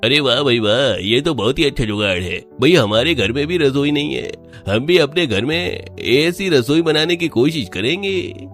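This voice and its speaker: A man's voice: medium pitch, lively intonation, moderate pace, hardly any pauses, fairly bright tone, faint music behind. A man playing a polite, admiring villager in a cartoon. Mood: curious, admiring. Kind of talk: Hindi cartoon character dialogue.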